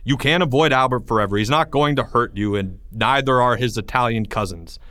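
A man's voice: medium pitch, brisk, fairly bright tone, no room sound, clean studio sound, natural voice. A man voicing a patient, exasperated male teacher talking firmly to a pupil. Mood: stern. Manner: firm, reasoning, mildly weary.

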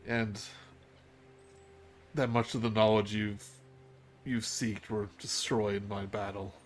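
A man voicing a powerful female dragon leader who speaks in a measured, gracious way. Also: lowish voice, level tone, slight background noise. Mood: solemn.

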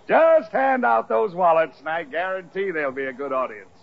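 An actor playing a self-satisfied, easily exasperated but softhearted businessman boss in a 1940s radio sitcom. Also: sits high, lively intonation, normal speed, vocal fry. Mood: genial, smug. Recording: dull old radio sitcom recording.

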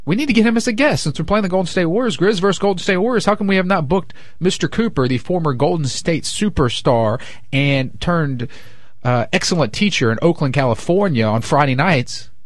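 A man's voice: a little high, dry recording, studio quality. A man hosting a sports radio show, chatting casually and joking, with a stuffed-up nose. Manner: animated, jokey. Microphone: studio microphone.

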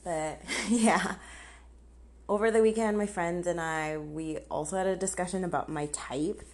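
A young woman hosts a casual solo podcast in a voice that sits a little low. She talks candidly and informally, as if chatting to friends. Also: fairly even intonation, measured pace. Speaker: podcast host. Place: outdoors on a patio.

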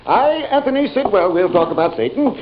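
A man's voice: sits high, animated, a little fast, a crowd in the background. An actor playing a fussy, self-satisfied town businessman, pompous and fluttery, in an old radio western. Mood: pompous, musing. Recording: muffled, faintly noisy old radio recording.

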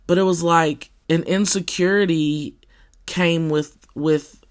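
A podcaster with a scratchy, somewhat deep voice, talking in a casual personal monologue full of fillers and restarts. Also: steady tone. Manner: halting, with many fillers and restarts.

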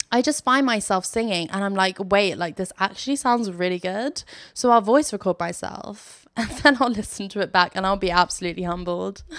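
A woman's voice: medium pitch, no room sound, clean studio sound. A young woman hosting a casual solo podcast from her sofa, chatty and self-mocking, laughing often as she talks. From Philippines. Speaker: podcast host. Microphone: phone.

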